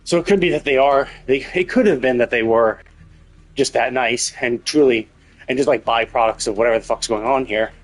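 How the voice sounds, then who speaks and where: Male streamer, medium pitch, casual and occasionally profane, musing aloud over quiet background music. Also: fairly quick. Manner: speculative, thinking out loud. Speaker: game livestreamer. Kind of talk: casual live game commentary.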